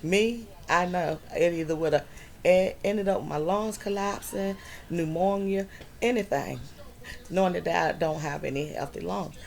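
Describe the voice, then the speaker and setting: A middle-aged woman talks to her channel from home in a slow, unhurried way, often pausing with fillers. Her voice sits a little low, with a gravelly, creaky edge. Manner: slow, drawn-out storytelling with many fillers. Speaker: vlogger. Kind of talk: personal health story vlog.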